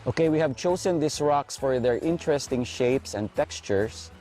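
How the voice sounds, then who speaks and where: A man's voice: medium pitch, lively intonation, moderate pace, warm tone, soft background music. A man with a Filipino accent narrating an instructional video as an art teacher, explaining step by step.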